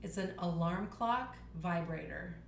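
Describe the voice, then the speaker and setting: A woman's voice: fairly low pitch, measured pace, gravelly, reverberant, a little hiss or hum. A woman hosting a casual chat, explaining things in a chatty way.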